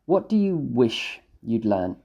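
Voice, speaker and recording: A man with a warm, mellow voice and mid-range pitch hosts a podcast interview. He puts short, direct questions to his guest. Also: sing-song, slow pace, creaky, husky.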